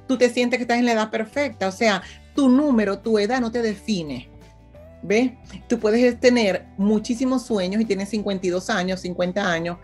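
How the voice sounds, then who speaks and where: Venezuelan lady, about fifty, chatty interview guest, keeps asking "ves?", soft music way back behind her. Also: on the high side. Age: 50s. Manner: animated, often checks in with "¿ves?". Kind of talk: casual conversational interview.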